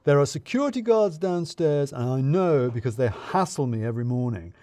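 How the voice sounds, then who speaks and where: A man voicing a loud, rude, opinionated male office worker who complains bluntly in an audio story. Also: medium pitch, lively intonation, a little fast, dry recording, natural voice. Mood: loud, indignant.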